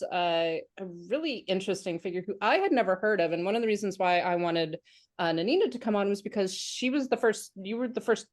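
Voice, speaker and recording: A woman in her thirties hosting a casual podcast, chatting with restarts and fillers and laughing often. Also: lowish voice. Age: 30s. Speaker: podcast host.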